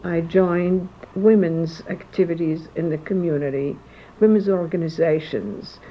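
An older woman recalling her community organizing in an oral history interview, pausing as she remembers. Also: lowish voice, fairly even intonation. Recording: muffled with faint hiss.

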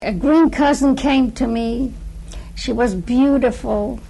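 Older woman, slow speech, higher voice, playful storytelling. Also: gravelly, warm tone, a little hiss or hum, poor audio. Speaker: interviewee. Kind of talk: personal recollection.

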